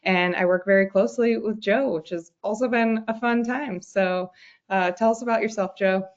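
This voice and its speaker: A woman with a middle-pitched voice talks in a friendly, relaxed way on a webinar, pausing now and then with an "um". The sound is dull and lo-fi, like a video call. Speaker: webinar speaker, product manager. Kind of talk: casual self-introduction.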